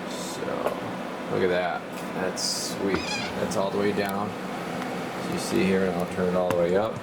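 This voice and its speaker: Deep-voiced man over loud fan hum. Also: little pitch movement, unhurried. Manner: easygoing, lots of "uh" fillers. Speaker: CB radio technician. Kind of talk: casual workbench demo. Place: repair shop workbench.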